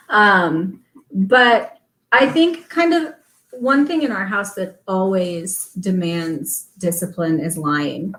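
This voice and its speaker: Woman, guest, relaxed casual chat, unhurried, roomy echo. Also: medium pitch. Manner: relaxed, laughing, many ums.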